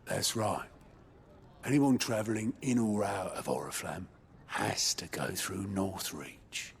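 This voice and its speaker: An actor playing a sardonic underworld informant in a video game, sly and colloquial, with a British accent and gravelly voice. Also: male voice, low voice, takes it slowly, slight background noise, exaggerated voice. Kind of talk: video game cutscene acting.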